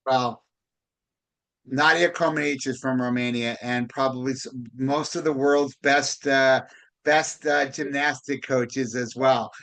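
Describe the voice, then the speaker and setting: A man hosting a podcast, talking casually in a halting way and often repeating words as he goes. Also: medium pitch, fairly even intonation, a bit bright. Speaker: podcast host, real estate broker. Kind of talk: conversational podcast interview.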